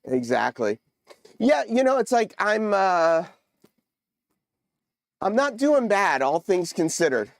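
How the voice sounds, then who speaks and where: Man, stuffy nose, higher voice, smooth tone, dry close sound, halting chat, lots of fillers. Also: big pitch swings, no echo. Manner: halting, many fillers, chatty with viewers. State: congested. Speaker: livestream host. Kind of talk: casual livestream chat. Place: home model railroad room.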